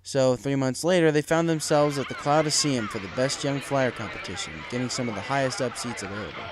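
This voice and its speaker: A man's voice: medium pitch, fairly quick, background noise. A man reading a story aloud as scripted audiobook narration. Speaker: audiobook reader of fan fiction.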